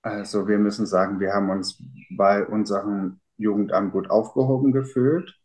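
A man's voice: fairly low pitch, steady tone, normal speed, darkish tone, low-quality recording. A man in his thirties answering interview questions casually, rambling with many fillers. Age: 30s. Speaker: interview guest, father.

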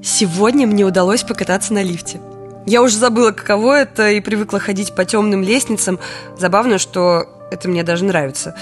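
A weary, wry woman confides her wartime diary in an audio drama, performed by an actress. Her voice sits at a middle pitch and tells it intimately in the first person, with music underneath. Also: brisk, natural voice. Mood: wry, reflective.

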